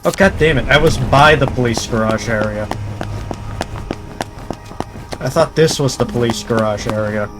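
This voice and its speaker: A man's voice: mid-range voice, brisk. Man muttering over gameplay. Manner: laid-back, swears, mutters to himself. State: dry throat. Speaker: let's play host. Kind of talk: casual gameplay commentary. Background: game music.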